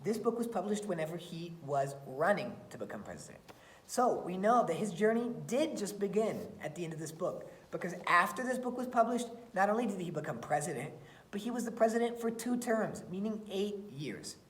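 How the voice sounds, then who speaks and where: Expressive woman teacher in an echoey room. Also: mid-range voice, a little fast. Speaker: teacher reading aloud to students. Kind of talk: expressive read-aloud and lesson talk for children. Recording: roomy, echoey sound.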